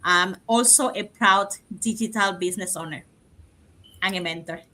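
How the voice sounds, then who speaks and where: Bright-voiced woman from Cuba. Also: medium pitch, moderate pace. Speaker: nurse, panel co-host. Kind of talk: reading out talk points.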